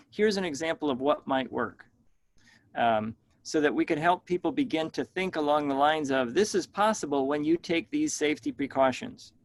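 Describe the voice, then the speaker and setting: A man moderating a professional discussion over a video call, measured and thoughtful, asking the group open questions. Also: fairly high pitch.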